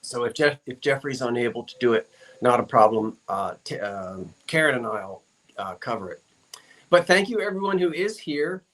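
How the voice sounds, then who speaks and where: A man's voice: mid-range voice, animated, normal speed, a bit bright. Man, live-stream sale host; casual, rambling chat with viewers, many fillers. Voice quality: frequent fillers and self-corrections. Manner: chatty, rambling, reads out viewer comments.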